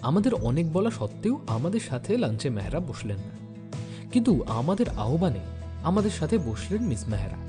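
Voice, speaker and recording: An actor playing a detective's observant young sidekick, narrating an audio story in a reflective, expressive way. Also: male voice, fairly high pitch, normal speed, over music. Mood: impressed, amused.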